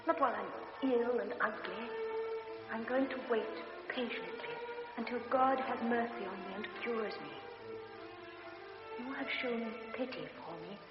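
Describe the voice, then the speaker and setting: Lovesick young invalid, pleading, echoey hall, music under. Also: female voice, fairly high pitch, animated, measured pace. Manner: pleading, intimate letter-reading. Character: young invalid woman, desperately in love. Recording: echoey, dull recording with music behind.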